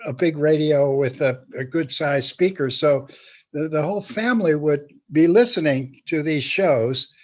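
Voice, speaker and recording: A man in his eighties reminiscing casually as an interview guest, in a halting, rambling way with repeated words. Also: a little high, lo-fi sound. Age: 80s. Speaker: guest, veteran script writer.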